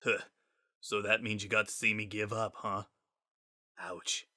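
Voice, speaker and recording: A man voicing a wry, laid-back skeleton who hides his grief behind casual, halting, self-deprecating talk in a story reading. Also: lowish voice, little pitch movement, sharp tone. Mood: wry, embarrassed. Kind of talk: dramatic fan-fiction reading.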